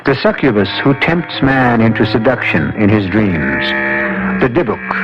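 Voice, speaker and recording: An actor as an ominous host narrating an eerie radio tale in a deliberate, theatrical manner. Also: male voice, medium pitch, natural voice. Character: ominous, measured male storyteller introducing eerie tales. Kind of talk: scripted old-time radio narration. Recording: muffled old radio recording with music.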